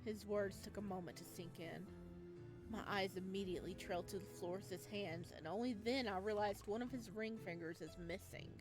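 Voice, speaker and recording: A woman reading a visual novel aloud and reacting with animated shock. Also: medium pitch, moderate pace, music in the background.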